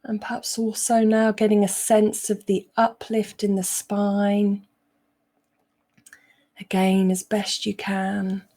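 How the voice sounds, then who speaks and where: A woman meditation coach with a relaxed, mellow tone and a middle-range pitch. She speaks slowly, pausing often between short phrases. Her guidance is gentle and instructional throughout. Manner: gentle, unhurried, instructional with frequent pauses. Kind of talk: guided meditation.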